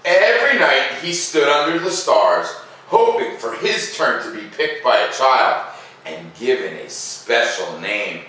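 A middle-aged man hosting a children's read-aloud, mixing expressive picture-book reading with informal, casual asides. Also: mid-range voice, a little slow. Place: living room by a fireplace, indoors. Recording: echoey room, distant mic, faint noise.

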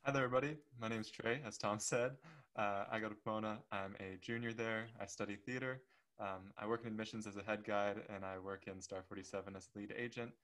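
A man's voice: lowish voice, fairly even intonation, quick pace, fairly bright tone. A young man, a student panelist, casually introducing himself over a video call. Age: young adult. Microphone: video call microphone.

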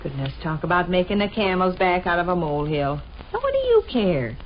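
A woman's voice: lowish voice, very animated, fairly quick. An American woman playing a teasing, practical housewife and mother in a folksy, chatty 1940s radio comedy style. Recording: dull, noisy 1940s radio recording.

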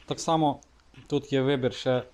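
A man giving a relaxed, casual product demonstration, thinking aloud with small hesitations. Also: medium pitch, moderate pace. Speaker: motorcycle vlogger.

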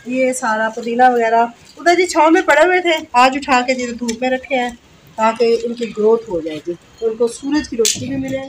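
A woman talks warmly as she cooks outside and explains each step. Her voice sits a little high. Noise from the open yard comes through the camera mic. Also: normal speed, rough recording. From Pakistan. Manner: chatty, peppers speech with "ji", step-by-step explaining. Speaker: vlogger cooking. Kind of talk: casual cooking vlog narration. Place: outdoor village rooftop courtyard. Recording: outdoor camera audio with noise.

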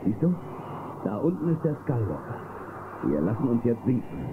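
A man plays a brave marshal of a space western, confident and reassuring. His delivery is lively in pitch. It sounds like a thin, noisy old audio-drama cassette. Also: moderate pace, narrow, tinny audio, lightly acted. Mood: amused, reassuring. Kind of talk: German children's audio drama acting.

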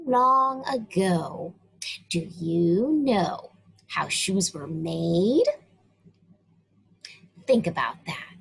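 A woman reading a Bible story aloud to children, talking to them directly in a slow, sing-song way. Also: fairly high pitch, gravelly, breathy edge. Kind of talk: read-aloud story lesson for kids.

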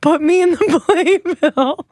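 A woman's voice: very high voice, slow pace, a little mellow, no room sound, clean studio sound. A woman in her thirties, a comedian guest on a podcast, telling rambling stories with lots of giggles and fillers. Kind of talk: casual podcast chat.

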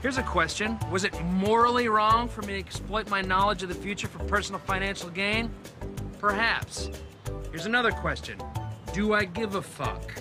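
A man's voice: high voice, moderate pace, natural voice. An actor playing a crude, belligerent middle-aged party guy who talks in a profane, sarcastic way, in a comedy film. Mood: smug. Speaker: film character. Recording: film soundtrack with music and crowd.

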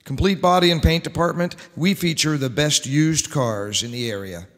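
A man's voice: fairly high pitch, normal speed. A middle-aged man doing scripted old-time radio announcing as master of ceremonies. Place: live stage in a church-like hall. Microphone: stand microphone. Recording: reverberant hall.

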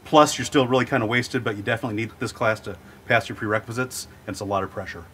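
A man hosting an interview in a sound booth, running a jokey quiz game with playful deadpan punchlines. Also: lowish voice, fast talker, a little hiss or hum. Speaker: host.

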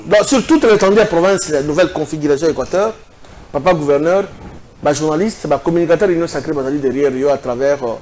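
Un homme d'une quarantaine d'années avec un accent congolais, débattant sur un plateau de télévision d'un ton animé et hésitant, avec beaucoup d'hésitations. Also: male voice, on the high side, quick pace, a little hiss or hum. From Équateur province, DR Congo. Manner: animated, hesitant, many fillers, gesturing. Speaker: political commentator. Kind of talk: TV debate. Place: TV studio set.

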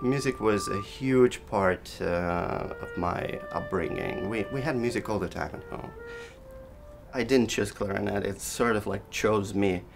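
A middle-aged man whose first language is Russian, a clarinet professor speaking thoughtfully and measuredly in an interview, with pauses and restarts. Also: lowish voice, gravelly, over music. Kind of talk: reflective interview. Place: living room with daylight window.

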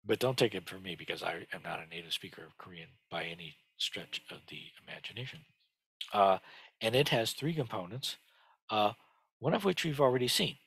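A man in his sixties teaching a lesson from his home study over a headset, explaining slowly with many fillers and restarts. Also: fairly low pitch, a bit bright, husky, no room sound. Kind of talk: screen-share lesson on Chinese characters.

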